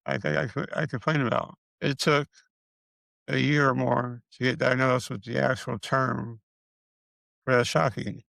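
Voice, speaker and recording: A middle-aged man with a raspy voice, giving a casual update on his health. He stutters and repeats syllables, and he is short of breath as he struggles to get words out. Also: medium pitch, fairly even intonation, measured pace, a bit bright, no room sound. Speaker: video creator sharing his illness progress.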